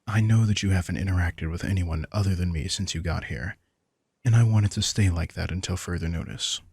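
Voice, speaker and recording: A man's voice: sits low, warm tone, slightly rough, no echo, clean studio sound, full character voice. A man playing a centuries-old vampire in an intimate audio drama, speaking casually and dryly, sardonic and teasing yet protective, with some swearing. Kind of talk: intimate roleplay audio drama, conversational acting.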